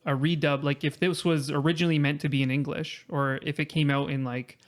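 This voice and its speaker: A man's voice: fairly high pitch, flat delivery, brisk. A man chatting informally on a review podcast as an enthusiastic fan. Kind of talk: casual review chat.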